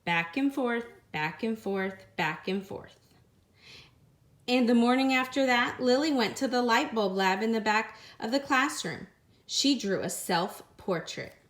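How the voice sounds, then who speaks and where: A woman narrating a children's picture book aloud in a deliberate, expressive, teacher-like way. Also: on the high side.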